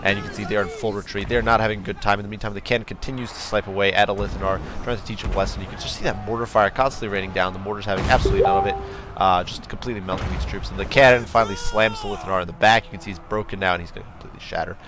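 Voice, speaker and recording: A man commentating a strategy game battle in a quick, chatty way, his voice nasal from a cold. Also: on the low side, steady tone, noisy background. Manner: quick, chatty, many fillers and restarts. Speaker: gameplay commentator.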